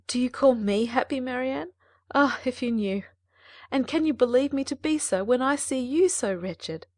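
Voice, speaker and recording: An actress voicing a composed but anxious elder sister who urges and pleads earnestly in an audiobook drama. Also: female voice, high-pitched, moderate pace, darkish tone, no echo, studio quality, natural voice. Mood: pained.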